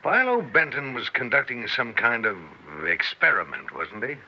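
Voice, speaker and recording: An actor playing a brisk, skeptical police investigator in an American radio drama, blunt and businesslike with an American accent. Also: male voice, medium pitch, wildly swooping pitch. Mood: probing. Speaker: investigator questioning a witness. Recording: dull, band-limited old radio recording.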